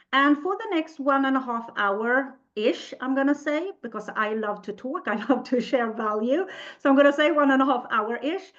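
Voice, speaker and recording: A woman's voice: a little high, a bit bright, muffled recording. Upbeat Swedish-accented woman hosting a webinar. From Sweden. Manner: upbeat, chatty, reads the live chat aloud. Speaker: webinar host. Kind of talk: live webinar presentation.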